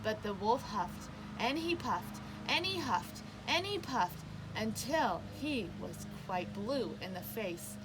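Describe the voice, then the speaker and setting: A woman reading a children's picture book aloud as a storyteller, with expressive, emphatic narration. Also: on the high side, takes it slowly, hardly any pauses, noisy background.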